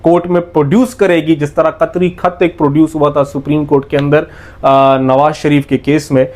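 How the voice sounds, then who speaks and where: A man's voice: on the high side, flat delivery, normal speed, darkish tone. A man with a Pakistani accent giving an explanatory lecture, didactic and step by step, restating points with emphasis and mixing in English legal terms. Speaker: law lecturer.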